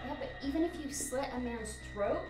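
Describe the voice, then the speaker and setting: Woman acting animated, sharp-minded surgeon. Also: sits high, brisk. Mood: shocked, analytical. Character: sharp, questioning woman surgeon examining the dead. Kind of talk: radio drama acting. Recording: echoey, faint music.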